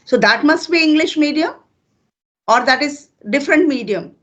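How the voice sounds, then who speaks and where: Retired Indian teacher, older woman, Hindi native, patient and unhurried, slightly higher voice. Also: animated, a bit bright. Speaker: retired teacher and channel host. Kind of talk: casual interview conversation.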